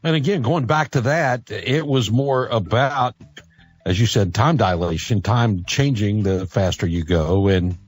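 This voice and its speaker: A man's voice: on the low side, measured pace, husky, faint music behind. A man hosting a live call-in radio show, putting questions to his guest in a conversational style. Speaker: radio talk show host.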